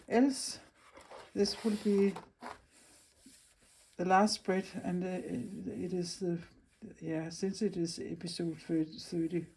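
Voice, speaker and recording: A woman from Denmark with a Danish accent, hosting a casual craft video, talking in a halting, friendly way while she searches for English words. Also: lowish voice, mellow, slight background noise, poor audio. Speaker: craft channel host. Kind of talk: casual craft vlog chat.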